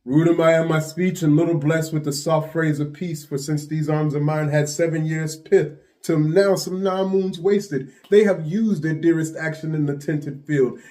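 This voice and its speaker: A man playing a dignified soldier pleading his case in a formal, measured Shakespearean monologue. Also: fairly high pitch, warm tone, close to an everyday voice. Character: Othello, dignified male soldier defending his marriage.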